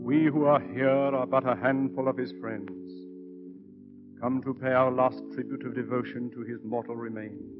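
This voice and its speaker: A man plays a reverent clergyman giving a eulogy in a vintage radio play. He is measured and oratorical, medium in pitch, with music behind him on a dull recording. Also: steady tone, lightly acted. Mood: solemn. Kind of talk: solemn funeral eulogy in radio drama.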